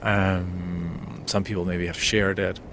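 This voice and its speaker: Soft-spoken Danish man, hesitant and intimate. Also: low voice, level tone, takes it slowly, vocal fry, a little hiss or hum. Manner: hesitant, many restarts and fillers, intimate. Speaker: podcast host. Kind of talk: unscripted solo podcast monologue.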